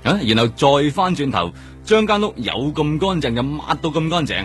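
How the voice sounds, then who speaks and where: A man's voice: a little high, animated, moderate pace, natural voice. A man with a Hong Kong Cantonese accent acting a chatty, teasing, caring police detective in a radio drama. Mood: keen, explaining. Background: background music.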